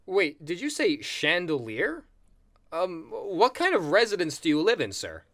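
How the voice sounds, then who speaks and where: An actor playing a polite, earnest paranormal hotline agent with a deferential customer-service manner in an audio comedy sketch. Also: male voice, sits high, huge pitch swings, measured pace, a bit bright, full character voice. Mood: polite, concerned.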